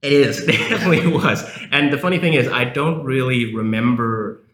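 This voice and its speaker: A man's voice: mid-range voice, a little fast, fairly bright tone. A young man who grew up in South Africa, a lecturer talking casually as an interview guest, with frequent filler words. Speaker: guest, university English lecturer. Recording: echoey room, faint background noise.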